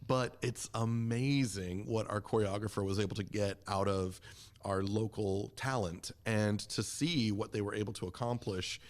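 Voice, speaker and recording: A man's voice: fairly low pitch, moderate pace. A man speaking enthusiastically and encouragingly in a casual interview as a community theater representative.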